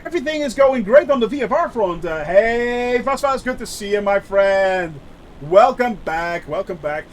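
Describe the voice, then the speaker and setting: A man's voice: sits high, slight background noise. A man with a Dutch accent, chatting casually on a flight-sim live stream, full of filler sounds and answering chat.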